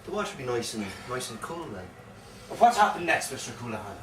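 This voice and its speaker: An actor playing an earnest, sympathetic drinker prompting a storyteller in a live stage play. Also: male voice, medium pitch, sing-song, fast talker, natural voice. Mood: earnest, curious. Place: pub room, away from the mic. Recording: distant, echoey live recording, clear background noise.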